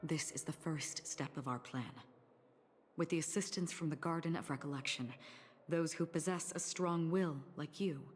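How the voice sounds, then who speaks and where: A woman playing a calm, analytical strategist in a video game, composed and explanatory. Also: sits low. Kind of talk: video game character acting.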